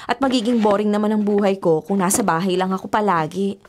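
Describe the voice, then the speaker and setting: An actress with a Filipino accent playing a carefree, stubborn young waitress in a radio drama, breezy and expressive. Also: female voice, mid-range voice, quick pace, a little hiss or hum, close to an everyday voice. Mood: breezy, chummy. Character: carefree, stubborn young pregnant waitress who smokes and gambles. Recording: faint music bed behind dialogue.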